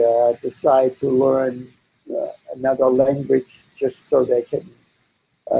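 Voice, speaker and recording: An older man whose first language is German speaks slowly, with a gravelly, husky, mellow voice. He answers questions in a deliberate way, pausing often. The recording is dull and lo-fi. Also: mid-range voice. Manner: slow, deliberate, frequent hesitations. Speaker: interviewee psychologist.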